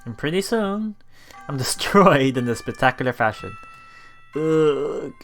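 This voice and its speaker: A man doing a let's play, reading story narration aloud with casual commentary, halting and chuckling as he goes, with game music behind him. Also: a little high, very animated, fairly bright tone. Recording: voice over game background music.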